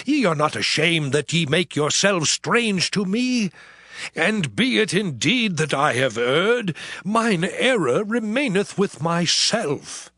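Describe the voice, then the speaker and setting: An actor playing a suffering man who pleads with his friends, in a dramatized scripture reading with anguished, slow declamation. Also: high-pitched, pushed and bright, no room sound, heavily acted. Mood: lamenting. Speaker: actor voicing Job. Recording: music bed under voice.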